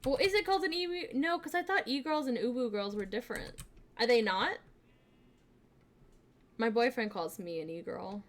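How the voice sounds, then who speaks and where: A young woman streamer chatting casually with her viewers, bubbly and giggly, with lots of fillers, switching between quiet asides and excited greetings. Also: normal speed, long pauses. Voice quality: fairly deep voice for a woman. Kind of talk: casual live-stream chat.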